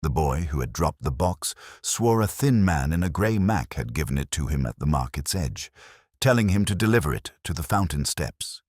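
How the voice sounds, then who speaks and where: A man's voice: very deep voice, big pitch swings, moderate pace, darkish tone, no room sound, clean studio sound. A man reading a scripted detective story as its narrator. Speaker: audiobook narrator.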